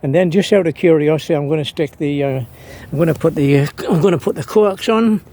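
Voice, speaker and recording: A man's voice: on the high side, a little fast, dark tone. A man narrating an unscripted hands-on demonstration as an amateur radio hobbyist, hesitant and thinking aloud as he works. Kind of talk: unscripted how-to vlog narration.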